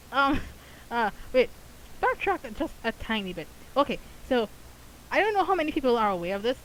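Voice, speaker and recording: A woman's voice: a little high, poor audio. Rambling woman doing gameplay commentary, faint hiss. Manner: rambling, conversational. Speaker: gaming content creator.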